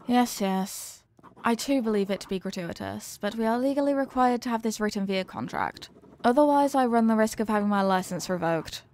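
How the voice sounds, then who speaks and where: A woman's voice: mid-range voice, fairly quick, a little mellow, lightly acted. A woman playing an earnest, formal young scientist who is fond of her test subject, in a scripted roleplay with precise, clinical wording. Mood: polite, businesslike. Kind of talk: scripted roleplay addressed to the listener.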